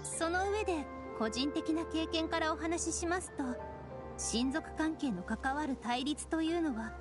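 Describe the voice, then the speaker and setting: An actress playing a composed young priestess leader in an anime game, gentle, polite and measured. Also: female voice, high-pitched. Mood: calm, soothing. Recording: game music behind.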